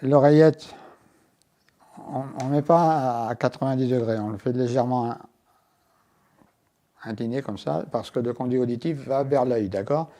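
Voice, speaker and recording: A man's voice: mid-range voice, unhurried, pauses often, warm tone. A man presenting a product as a guest, explaining it hands-on and step by step with small asides. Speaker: inventor and guest demonstrating his product. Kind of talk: hands-on product demonstration in an interview.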